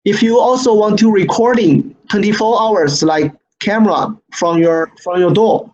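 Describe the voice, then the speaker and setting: A man who speaks English as a second language presents an online product training, repeating phrases haltingly and often checking with "right?". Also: high voice, darkish tone. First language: not English. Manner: repetitive, halting phrasing with frequent "right?" tags. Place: screen-share online session. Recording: dull lo-fi online webinar audio.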